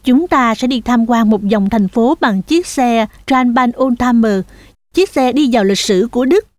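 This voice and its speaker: A woman voicing a caring, firm mother goat in a children's cartoon, explaining things in a measured manner. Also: fairly high pitch, animated, darkish tone, dry recording, lightly acted. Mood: calm, explaining. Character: calm, firm mother goat, caring teacher of her kids.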